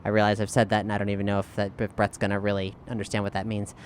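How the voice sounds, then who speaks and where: A woman playing a tabletop role-playing game, improvising casually and thinking aloud in halting phrases. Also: very deep voice, little pitch movement, slight background noise.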